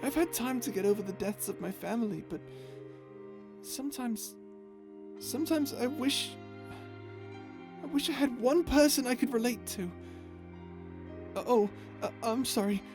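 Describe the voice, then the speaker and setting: A woman acting in an audio roleplay as a gentle, childlike non-human crew member, speaking with a timid, hesitant, earnest stammer. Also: fairly high pitch, lively intonation, measured pace, pauses often. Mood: wistful, unsure. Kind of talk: ASMR audio drama roleplay. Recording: music under the voice. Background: music.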